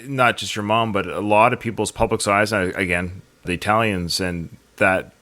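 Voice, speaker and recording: A man's voice: fairly low pitch, steady tone, normal speed, sharp tone. A man hosting a podcast, talking through films in a casual, conversational way. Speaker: podcast host. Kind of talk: casual conversational film commentary.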